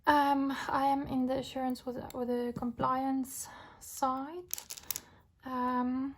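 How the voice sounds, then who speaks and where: Husky-voiced woman chatting while crafting. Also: high voice, level tone, takes it slowly. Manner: rambling, chatty, many fillers. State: husky from recovering illness. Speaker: diamond painting vlogger. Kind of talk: casual chat while crafting. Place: home craft table. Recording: home recording with craft crinkling noise. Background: crinkling packets and clicks.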